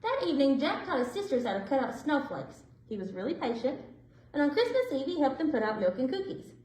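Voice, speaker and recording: A woman with a high voice narrates a picture book for children. Her delivery swings up and down expressively, with deliberate phrasing. The room adds a clear echo. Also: big pitch swings, a little mellow, slight background noise. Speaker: librarian reading stories aloud for children. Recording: roomy indoor echo.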